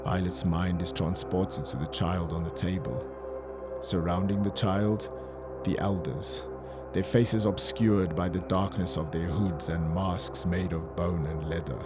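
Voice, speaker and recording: A man reading dramatic scripted narration in a measured, solemn manner. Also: low voice, fairly even intonation. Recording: loud music under voice. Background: loud dramatic music.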